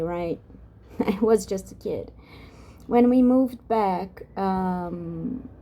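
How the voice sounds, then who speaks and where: Woman from Brazil, native Portuguese speaker, soft-spoken vlogger; very unhurried, mellow, halting delivery full of restarts. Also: medium pitch. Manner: halting, many restarts and fillers, drifts off topic. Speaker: ASMR vlogger. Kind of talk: soft-spoken rambling vlog.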